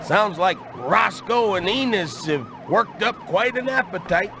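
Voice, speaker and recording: High-voiced folksy old Southern uncle. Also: male voice, expressive, unhurried, noisy background. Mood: welcoming. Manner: folksy, firm. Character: kindly, firm old Southern country uncle. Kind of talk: game cutscene acting.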